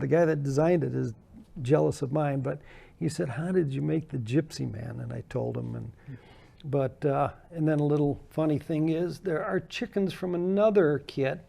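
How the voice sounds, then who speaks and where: A man's voice: a little high, normal speed, gravelly, warm tone. An older American man, a guest in an interview, chatting casually and telling anecdotes about his hobby with frequent laughs. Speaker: guest model builder.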